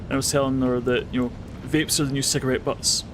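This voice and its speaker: A man's voice: medium pitch, little pitch movement, fast, background noise. A man with a Scottish accent, streaming a game and chatting casually with his viewers, swearing now and then. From Scotland. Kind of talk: casual commentary while gaming. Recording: game music behind voice.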